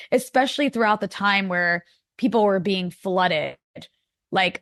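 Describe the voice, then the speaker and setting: A woman's voice: fairly low pitch, no room sound, studio quality. American woman, rambling interview guest. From United States. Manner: rambling, many likes and restarts. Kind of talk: casual interview talk.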